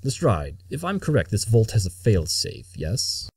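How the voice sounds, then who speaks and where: A man playing an arrogant, brilliant cartoon pony detective who talks in a clipped, condescending, deliberate way. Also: lowish voice, very animated, gravelly, a little mellow, breathy edge, dry recording, clean studio sound, full character voice. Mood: calm, grave. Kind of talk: amateur cartoon audio drama acting.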